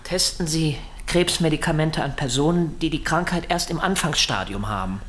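A man's voice: a little high, normal speed, fairly bright tone, slight background noise, lightly acted. An actor playing an insistent captor who interrogates his prisoner in a radio drama, terse and controlled. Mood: probing. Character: insistent male captor interrogating a pharma executive.

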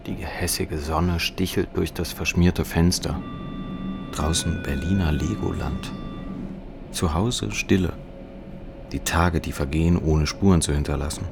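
An actor playing a despairing, sardonic narrator living through depression, speaking an introspective literary monologue in clipped fragments for a radio play. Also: male voice, sits low, measured pace, gravelly. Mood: weary, bitter. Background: music.

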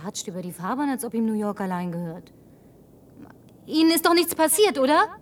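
Brief: high-voiced, sharp-tongued last woman on earth, a bit distant, faint engine rumble. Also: sing-song, brisk. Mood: mocking. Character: brash lonely young woman, last survivor. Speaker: Linda, survivor driving a car. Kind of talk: German film dub.

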